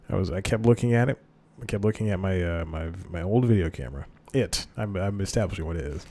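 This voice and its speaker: A man's voice: lowish voice, a little mellow. A young man hosting a casual solo podcast, rambling with many fillers and self-corrections into a desk microphone. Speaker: podcast host.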